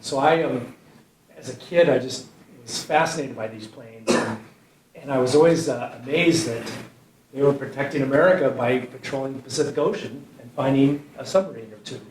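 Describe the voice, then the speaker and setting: Halting man introducing a speaker. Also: medium pitch, a little mellow. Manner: halting, full of fillers, enthusiastic. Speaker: program host. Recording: roomy, distant mic with faint noise.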